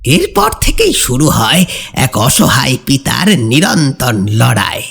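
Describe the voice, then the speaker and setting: A man narrating a folk horror story in a suspenseful, theatrical way with drawn-out pauses, as a scripted dramatic reading. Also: mid-range voice, huge pitch swings, vocal fry, a bit bright, slightly rough. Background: background music.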